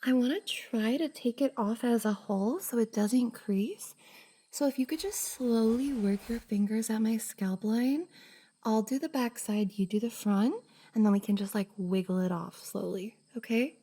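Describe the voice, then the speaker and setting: A woman playing a shy, bubbly young cosplayer and affectionate best friend in an intimate roleplay ASMR, soft, hesitant and giggly. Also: a little high, normal speed. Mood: focused, giggly. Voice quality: soft, breathy, slightly husky. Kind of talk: F4M roleplay ASMR, intimate one-sided conversation.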